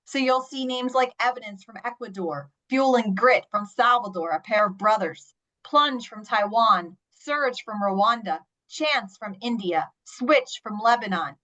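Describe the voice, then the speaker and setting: A woman's voice: a little high, bright, forceful tone. A woman giving a prepared storytelling talk as an author and teacher, with an emphatic delivery. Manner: emphatic, acts out quotes. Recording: dull, band-limited audio.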